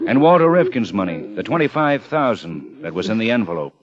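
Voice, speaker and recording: Relentless police lieutenant, muffled old radio. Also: male voice, lowish voice, big pitch swings, fairly quick, a loud crowd. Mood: pressing. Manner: clipped, probing, controlled. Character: composed, relentless male police lieutenant interrogating a suspect. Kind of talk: 1950s radio crime drama acting. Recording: muffled 1950 radio broadcast.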